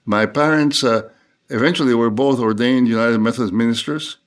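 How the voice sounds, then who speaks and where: Older guy from cuba, interview guest, slow thoughtful talk with lots of restarts. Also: medium pitch. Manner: measured, reflective, frequent halting restarts. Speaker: theologian guest.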